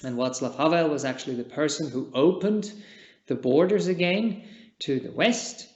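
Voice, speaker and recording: A man from Switzerland, a native Czech speaker, giving a gentle, halting dharma talk as a Buddhist meditation teacher, with long pauses. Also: a little high. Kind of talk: unscripted dharma talk at a retreat. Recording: echoey room, dull lo-fi sound.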